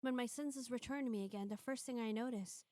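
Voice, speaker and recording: A woman's voice: fairly high pitch, fairly quick, warm tone, no room sound. A woman live-streaming, reading a visual novel aloud and chatting casually between passages.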